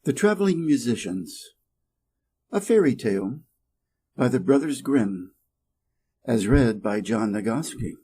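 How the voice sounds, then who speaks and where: A man's voice: mid-range voice, lively intonation, a little slow, long pauses, mellow, husky. A man from Wisconsin with an American accent, reading a fairy tale carefully and expressively for English learners. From Wisconsin, United States. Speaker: storyteller, English reading teacher.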